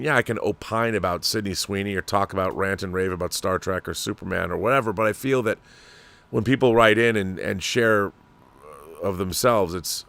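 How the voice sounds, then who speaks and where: A man's voice: low-pitched, vocal fry, fairly bright tone. A middle-aged American man hosting a casual fan livestream, reading viewer messages aloud and chatting with opinionated asides.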